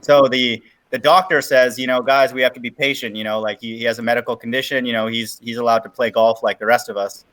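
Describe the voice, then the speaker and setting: A man's voice: mid-range voice, steady tone, fast, few pauses, fairly bright tone, poor audio. A man in a casual online chat, blunt and joking.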